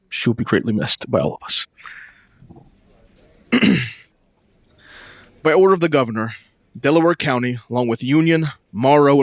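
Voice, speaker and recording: An actor playing a solemn, shaken news anchor in a 1930s-style radio drama, formal and grave. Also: male voice, mid-range voice, no echo, natural voice.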